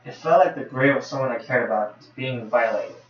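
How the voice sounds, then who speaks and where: A man streaming a game, reading its story text aloud in a casual way. His medium-pitched voice comes through slightly dull, lo-fi audio. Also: brisk. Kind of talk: reading visual novel dialogue aloud, casual commentary.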